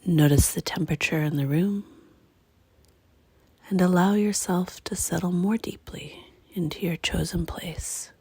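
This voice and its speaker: A woman leading a guided meditation in a hushed, breathy, gentle and unhurried way, leaving long pauses between phrases. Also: lowish voice, no echo. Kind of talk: guided meditation, slow and soothing.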